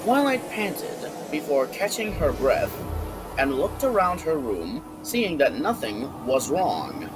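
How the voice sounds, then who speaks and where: A man with a fairly high, lively voice reads scripted audiobook narration. His delivery is slow and varied, with music clearly playing behind him. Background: background music.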